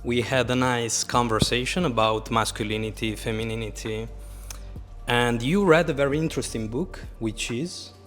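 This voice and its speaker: A man's voice: medium pitch, normal speed, a bit bright, soft background music. A man speaking English as a second language as host, asking leading, coach-like questions in a casual interview. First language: Italian.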